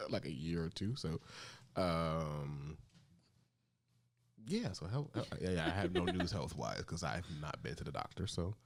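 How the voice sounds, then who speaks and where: A man's voice: deep voice, wildly swooping pitch, takes it slowly. A man in his thirties co-hosting a podcast, laid-back and joking, laughing a lot as he chats. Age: 30s. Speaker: podcast co-host. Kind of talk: casual chat.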